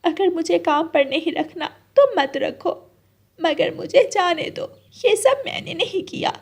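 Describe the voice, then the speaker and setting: A woman voicing a gentle, hardworking young female bird in a cartoon, polite and pleading. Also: extremely high pitch, animated, measured pace, voice pushed up, full character voice. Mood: distressed pleading.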